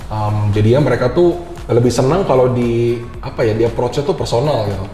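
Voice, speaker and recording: A man's voice: mid-range voice, brisk, mellow, soft background music. Casting: male lecturer as talk guest, Jakarta accent, speedy casual delivery, recorded in a roomy echoing space. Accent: Jakarta Indonesian. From Indonesia. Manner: informal, mixes in English words. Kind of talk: casual interview chat.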